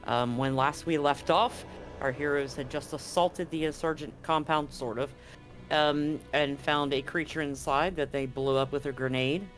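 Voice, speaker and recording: Game master narrating scifi tabletop game, middle pitch, pauses mid sentence, soft music behind. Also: steady tone, low-quality recording. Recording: music under the voice. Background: background music.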